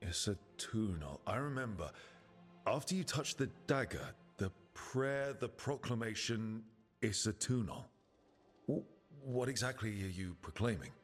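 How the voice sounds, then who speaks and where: A steady young game hero with a fairly deep voice. He talks gently and casually, dryly playful, over background music. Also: a little slow. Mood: curious, supportive. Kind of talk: video game dialogue acting.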